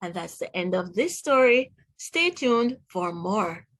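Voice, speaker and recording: A woman reading aloud to young children as a teacher, pronouncing words deliberately one by one and putting questions to the listener. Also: medium pitch, big pitch swings, a bit bright. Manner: deliberate word-by-word enunciation, asks the listener questions. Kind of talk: read-aloud phonics lesson for children.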